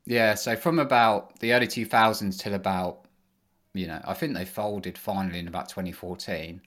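Man, deep voice, easygoing rambling guest. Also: normal speed. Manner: relaxed, rambling with many fillers. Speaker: podcast guest, engineering manager. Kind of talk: casual interview answers.

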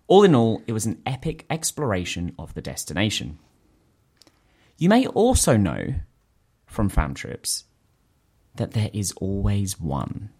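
A man hosting a podcast, reading a listener's letter aloud in an animated, quick storytelling way. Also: lowish voice, breathy edge. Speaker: podcast host.

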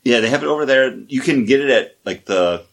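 A man's voice: mid-range voice, animated, fairly quick, a bit bright. A man co-hosting a podcast, chatting casually and laughing often. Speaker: podcast co-host. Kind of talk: casual chat.

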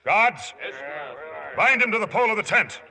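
Sharp, menacing commander. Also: male voice, high voice, animated, moderate pace, exaggerated voice. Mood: commanding, menacing. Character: cruel gloating male Philistine military commander. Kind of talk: 1950s radio drama acting. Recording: hissy old radio recording.

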